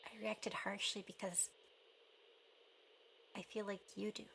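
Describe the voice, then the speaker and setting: A woman playing a guarded, conflicted young woman in a naturalistic radio play, with a halting manner and some creak in her voice. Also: medium pitch, level tone, bright, forceful tone, slight background noise, exaggerated voice. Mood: regretful, pleading. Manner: hesitant, halting, blunt when rattled.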